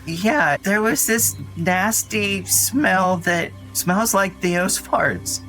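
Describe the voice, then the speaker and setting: An actor playing an earnest, anxious young boy who tells his story in a rambling, halting way in an audio drama. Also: sits high, expressive, sharp tone, heavily acted, low-quality recording. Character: Dougie, frightened earnest young boy recounting his brother's disappearance. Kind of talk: naturalistic audio drama acting. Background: music under the voice.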